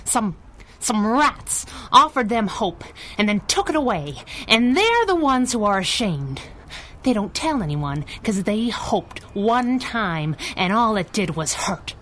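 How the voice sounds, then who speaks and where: An actress playing a tough, wisecracking young masked crimefighter in a 1930s-style radio adventure, with a cocky, sardonic delivery. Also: female voice, mid-range voice, big pitch swings, slow, a bit bright, a little hiss or hum. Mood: bitter, brooding.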